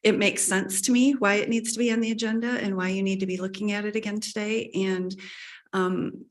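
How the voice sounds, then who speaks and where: A woman speaks at a meeting podium in a mid-range voice. She is polite and measured, with occasional fillers. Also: mid-range voice, steady tone. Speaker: public commenter. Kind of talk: public comment at a meeting. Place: county committee meeting room.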